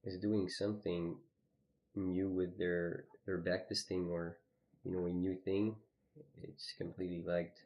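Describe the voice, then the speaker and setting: A man's voice: low voice, flat delivery, a little slow, lo-fi sound. A man streaming live, casually walking through his trades on a chart, with lots of "you know" and "okay". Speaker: trading streamer.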